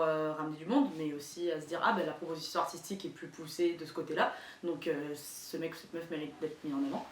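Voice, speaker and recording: A woman talking casually and reflectively, halting as she searches for her words. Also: low-pitched. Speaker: event programmer. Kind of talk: casual reflective interview talk. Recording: echoey room, off-mic, faint noise.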